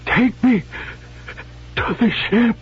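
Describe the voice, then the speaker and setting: A man's voice: very high voice, slow pace. An actor in a radio drama playing a delirious dying man, gasping and groaning as he insists in strained, repetitive bursts. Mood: feverish, insistent. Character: delirious dying man, obsessed with gold and his ship. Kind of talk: 1970s radio drama acting. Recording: dull vintage radio recording with hum.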